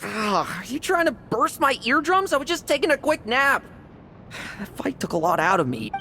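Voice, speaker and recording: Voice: medium pitch, sing-song, moderate pace, sharp tone, faint music behind. An actor playing a cocky, wry young knight in a video game, with a sardonic, nonchalant delivery. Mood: wry, casual. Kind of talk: dramatic game voice acting.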